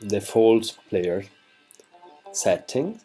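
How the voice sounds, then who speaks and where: Slow, halting man explaining software. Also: fairly low pitch, very animated, breathy edge, faint music behind. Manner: halting, self-correcting, slightly non-native phrasing. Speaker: plugin developer demonstrating software. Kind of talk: unscripted screencast tutorial.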